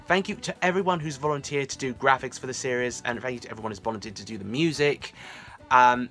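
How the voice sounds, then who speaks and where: A man in his twenties giving a casual, effusive update to camera in an informal, animated way, recorded in a small room at home. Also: mid-range voice. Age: 20s. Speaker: creator of a fan audio series, vlog host.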